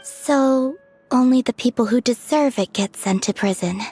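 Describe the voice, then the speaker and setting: A woman's voice: a little high, moderate pace, dark tone, soft background music, lightly acted. A woman voicing a serene young female game character who speaks in a candid, naively direct way. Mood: innocently blunt. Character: serene, innocently blunt young female former villain. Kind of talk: video game voice acting.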